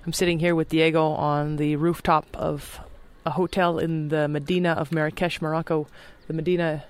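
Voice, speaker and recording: A man's voice: on the high side, flat delivery, moderate pace, clean tone, no room sound. A male host introducing his guest in an earnest, formal way. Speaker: podcast host. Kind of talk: interview hosting. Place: hotel rooftop in an old city.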